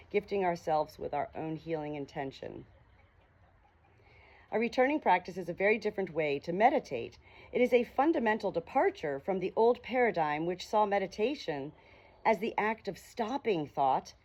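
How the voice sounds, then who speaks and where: A woman reading aloud informally with casual asides, speaking in an unhurried way outdoors on a small farm. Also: lowish voice, a little mellow, dull audio. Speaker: audiobook reader and small hobby farm keeper. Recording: noisy outdoor ambience with farm animals. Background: farm animal sounds, ambient noise.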